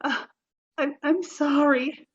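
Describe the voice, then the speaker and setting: An actress playing an apologetic, grieving forty-three-year-old artist in a play reading, with a halting, emotional delivery. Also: female voice, high-pitched, steady tone, lo-fi sound. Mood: upset, apologetic.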